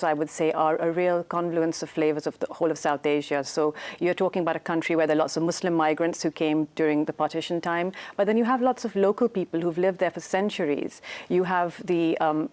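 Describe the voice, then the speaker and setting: A woman's voice: low voice, a little mellow. A woman from Pakistan, a cookbook author, talking chattily about cooking as a guest on a TV show. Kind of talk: TV studio interview and cooking segment.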